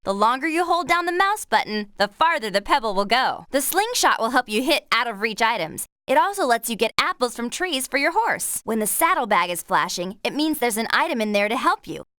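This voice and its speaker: An actress playing a cheerful, helpful young woman horse rider, guiding a video game player in a clear, upbeat, encouraging way. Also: on the high side, very animated, fairly quick, hardly any pauses, bright, forceful tone, no room sound, clean studio sound. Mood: friendly, instructive. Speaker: game lead character and tutorial guide. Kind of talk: scripted video game instruction and dialogue.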